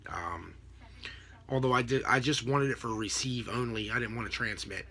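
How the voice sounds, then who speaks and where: A middle-aged American man giving a casual, unscripted explanation to camera in a halting, rambling way. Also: mid-range voice, bright, forceful tone. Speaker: vlogger, radio scanner hobbyist. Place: small home room with a desk, indoors. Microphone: computer webcam at desk distance.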